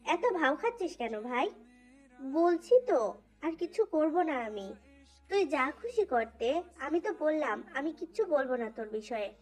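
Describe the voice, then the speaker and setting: A woman's voice: high voice, big pitch swings, measured pace, darkish tone. A woman voicing a pleading, defensive, mischievous young male college student in a dramatised fan fiction. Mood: exasperated. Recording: faint music under voice. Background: faint background music.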